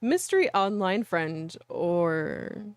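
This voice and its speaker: Slow-paced woman game streamer, playful and chatty. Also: on the low side, very animated, smooth, dry recording. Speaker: livestream host playing visual novels. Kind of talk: casual game stream chat with reading aloud.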